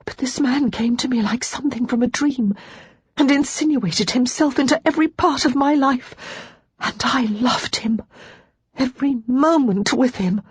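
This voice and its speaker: A woman narrator voicing Lara, a young widow who is ashamed, despairing and crying, in a husky voice that keeps dropping to a whisper. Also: mid-range voice, normal speed, a little mellow, no room sound, voice pushed up. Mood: despairing, tearful. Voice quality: husky, tearful, often whispering.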